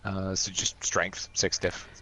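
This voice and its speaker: A man with a low voice talks as a player in a tabletop role-playing game. His delivery is casual, like chat among players. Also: monotone, slow, sharp tone, rough recording.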